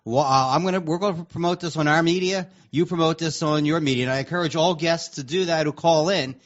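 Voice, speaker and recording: A man's voice: fairly high pitch, fast. A man co-hosting casual talk radio, heard on dull, lo-fi audio. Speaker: radio co-host.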